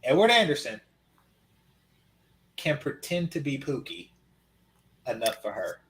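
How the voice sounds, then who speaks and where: Man, mid-pitched, wry, matter-of-fact storyteller, casual podcast chat. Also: big pitch swings, measured pace, a bit bright, poor audio. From Oakland, California.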